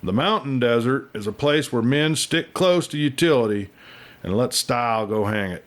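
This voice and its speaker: A man reading a western novel aloud as an expressive, folksy storyteller. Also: medium pitch, normal speed. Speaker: audiobook reader and storyteller.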